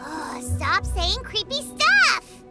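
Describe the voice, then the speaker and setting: A woman's voice: extremely high pitch, big pitch swings, unhurried, over music, exaggerated voice. A woman voicing a tiny, childlike companion sprite in a video game, pouty, blunt and easily spooked. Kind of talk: scripted video game dialogue.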